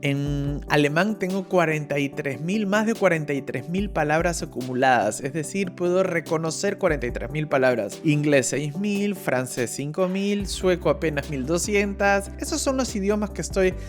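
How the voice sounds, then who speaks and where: Male podcast host, fairly high voice, friendly and halting, soft music beneath. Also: expressive. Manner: friendly, hesitant with many fillers. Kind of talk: podcast interview and product intro.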